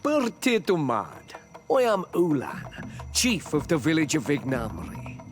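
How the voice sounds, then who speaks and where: An actor playing a dignified, shrewd village chief in a fantasy game, speaking with deliberate courtesy. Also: male voice, a little high, huge pitch swings, soft background music. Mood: curious. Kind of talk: fantasy video game acting.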